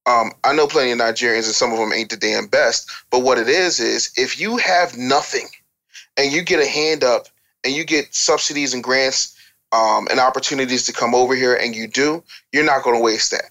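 Assertive American man, medium-pitched voice. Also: normal speed, bright, forceful tone, poor audio. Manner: assertive, emphatic, repeats points for effect. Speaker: podcast panelist. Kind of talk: opinionated discussion.

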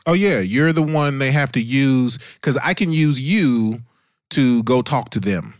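A man's voice: mid-range voice, a little slow, dry recording, studio quality. An American man co-hosting a podcast, chatting casually with informal, slangy interjections. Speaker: podcast co-host. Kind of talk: casual chat.

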